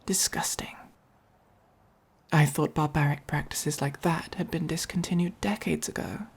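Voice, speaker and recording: A woman with an English accent voicing a haughty, possessive but caring vampire in a soft-spoken ASMR roleplay, with a breathy, commanding delivery. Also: deep voice, heavily acted. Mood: protective, soothing. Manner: slow, deliberate, commanding.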